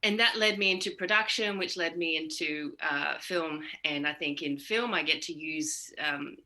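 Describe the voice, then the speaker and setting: Australian female interview guest, bright clear-cut tone, slightly low register, conversational. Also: normal speed. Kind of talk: casual interview chat.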